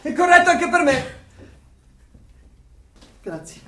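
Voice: extremely high pitch, big pitch swings, slow pace, fairly bright tone, exaggerated voice. Nervous worker in an echoey room. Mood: nervous, confiding. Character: anxious married male office worker, fearful of surveillance. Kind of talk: Italian radio drama acting. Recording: roomy, slightly distant, faint ambient noise.

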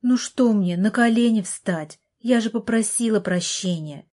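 A woman's voice: mid-range voice, a little slow, darkish tone, voice pushed up, close to an everyday voice. Audiobook role: weary young woman caring for a younger brother, apologetic, pleading, insistent, read by a female narrator. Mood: exasperated, insistent.

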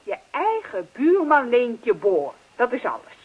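Fed up wife in a radio comedy, swoopy husky voice, brushes her husband off, dull old recording. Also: a little high, huge pitch swings, exaggerated voice. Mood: reproachful. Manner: brisk, exasperated, scolding. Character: impatient, dismissive wife scolding her moaning husband. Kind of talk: radio comedy play acting.

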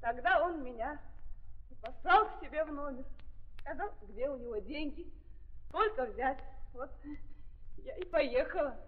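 Young accused woman, weary, very high voice, simple repetitive speech, vintage radio play, thin tinny sound. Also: lively intonation, measured pace, pauses often. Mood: subdued, recounting. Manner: plain simple speech, repetitive insistent denials. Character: weary, frightened young woman defendant, a prostitute on trial. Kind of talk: 1930s Russian stage radio acting. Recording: tinny, narrow-band 1930s archive recording.

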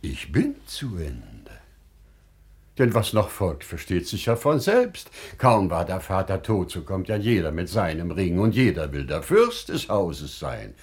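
A wise humble storyteller in an old stage-style radio play, slow and measured, very expressive pitch. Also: male voice, slightly rough, full character voice. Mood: wry, resigned. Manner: measured, deliberate, dramatic phrasing with weighty pauses. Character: wise, humble storyteller addressing a sultan with a parable.

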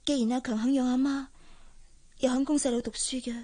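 A woman's voice: high-pitched, fairly even intonation, brisk, warm tone. A woman acting in a radio drama as a sad young housemaid with a Hong Kong accent, guarded and hesitant as she hides her heartache. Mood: downcast.

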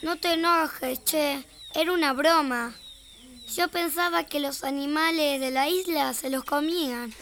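A woman's voice: high voice, unhurried, fairly bright tone, a little hiss or hum. A woman playing a sweet, curious little city girl in children's radio theater, wide-eyed and inquisitive, with an Argentine accent. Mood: playful.

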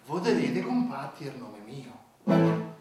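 Bombastic Roman donkey in a ringing hall. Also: male voice, a little high, sing-song, slow, loud music behind the voice. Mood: puffed-up oratory. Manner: bombastic, oratorical, rustic. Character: vain donkey in lion skin, bullying elected animal president. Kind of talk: theatrical dialect verse recitation. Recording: echoey hall, distant microphone.

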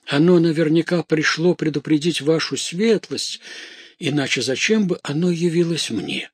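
A man's voice: a little high, lively intonation, normal speed, breathy edge, no room sound, pitched above the performer's own voice. A man voicing a hysterical, garrulous young maidservant in a story, frightened and indignant, with breathless, exclamatory, rambling delivery. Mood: frightened pleading.

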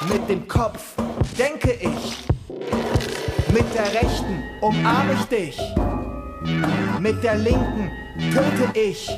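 An actor playing a strong, rebellious son in a German radio play, telling of his forbidden escape with deliberate, dramatic emphasis. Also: high-pitched. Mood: incantatory, fervent. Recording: music under the voice.

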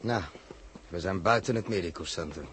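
An actor from the Netherlands, with a Dutch accent, playing a steady pilot and crew leader in a 1960s science fiction radio drama, explaining briskly. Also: male voice, sits low, heavy background noise, close to an everyday voice. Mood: brisk, explanatory.

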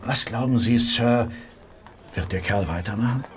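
A man's voice: mid-range voice, normal speed, close to an everyday voice. A man playing a deferential Victorian police constable in a radio play, respectful and eager, with faint noise behind him. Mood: dutiful, inquiring. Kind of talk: German radio play dialogue.

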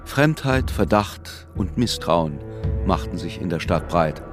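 A man narrating a literary radio drama in a measured, detached storytelling manner. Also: low-pitched, expressive, soft background music. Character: sober male narrator of a literary tale.

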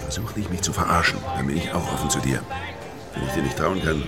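Very deep-voiced, world-weary hard man. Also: fast. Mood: cold, guarded. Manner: terse, hard-boiled, guarded. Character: gruff world-weary dying male debt collector, hard-boiled. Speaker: narrator and lead actor. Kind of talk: noir crime radio drama, first-person narration and dialogue. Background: music behind the voice.

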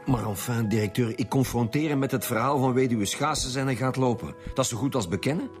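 An actor playing a gruff, bossy Flemish police inspector in a radio drama, talking colloquially and urgently. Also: male voice, medium pitch, quick pace, soft background music, close to an everyday voice. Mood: stern, pressing. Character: gruff, commanding male police inspector leading a homicide squad.

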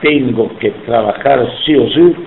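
A man's voice: medium pitch, a little slow, roomy sound, phone-quality sound. A man in his seventies from Sicily, speaking Spanish with an Italian accent in an oral history interview, emphatic and indignant. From Sicily, Italy. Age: 70s.

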